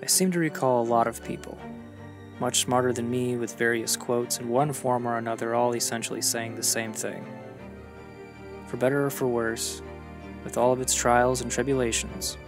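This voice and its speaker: Male vlogger, creaky mid-pitched voice, earnest scripted voice-over narration of a personal journey, music underneath. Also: little pitch movement, normal speed. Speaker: vlogger narrating own travel story.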